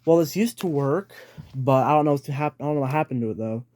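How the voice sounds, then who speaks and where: A man's voice: on the high side, quick pace, a little mellow. A man chatting casually as he hosts a hobby video, informal and hesitant, thinking aloud. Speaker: hobbyist video host showing a radio collection. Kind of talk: casual vlog chat.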